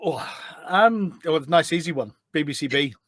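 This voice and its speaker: A man's voice: on the high side, lively intonation, moderate pace, no echo, studio quality. A middle-aged British man chatting casually as a podcast guest, rambling and correcting himself as he reminisces. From United Kingdom.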